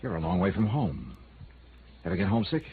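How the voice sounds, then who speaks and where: Wry, lively hard-boiled detective. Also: male voice, low-pitched, a little fast, slightly rough, full character voice. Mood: sly needling. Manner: wisecracking, clipped, tough. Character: wry, hard-boiled male private investigator. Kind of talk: old-time radio noir acting and first-person narration. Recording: narrow-band vintage radio recording with music.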